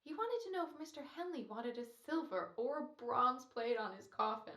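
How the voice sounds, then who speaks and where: A woman's voice: on the high side, lively intonation, moderate pace, fairly bright tone, reverberant. A woman playing a dopey, eager, easily distracted young studio helper in an old-time radio comedy. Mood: gleeful. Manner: hesitant, artless, eager.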